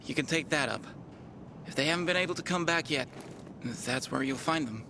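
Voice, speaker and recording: A man's voice: a little high, fairly quick, background noise, lightly acted. An actor playing a wary, shaken male soldier in a video game, guarded and plain-spoken. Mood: subdued. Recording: music playing behind the voice.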